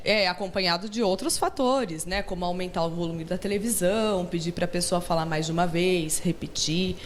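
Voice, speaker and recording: A woman's voice: on the low side, moderate pace, a little hiss or hum. A woman with a Brazilian Portuguese accent, a hearing therapist guest on a TV show, explaining patiently in a studio and often adding "né?". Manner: patient, explanatory, tags sentences with "né?". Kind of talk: explanatory interview talk.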